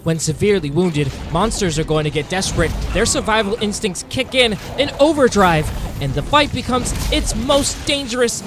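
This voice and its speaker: A man narrating video game play in a theatrical, intense documentary style with deliberate phrasing, speaking as the hunter himself. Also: high voice, expressive, over music. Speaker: gaming video narrator playing a monster hunter.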